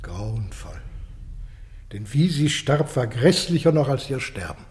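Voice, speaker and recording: An actor playing a grave court messenger who declaims terrible tidings dramatically and deliberately in a classical radio play. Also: male voice, mid-range voice, very animated, a little mellow. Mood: grave, horrified.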